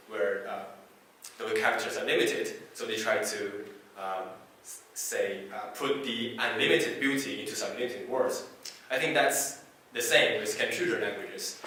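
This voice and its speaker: A man with a fairly low voice and Chinese as his first language presents a technical talk, pausing often and restarting his phrases. He is heard from a distance in a very echoey room. A faint hiss sits under the recording. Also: a bit bright. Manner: halting, many fillers and restarts. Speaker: conference speaker.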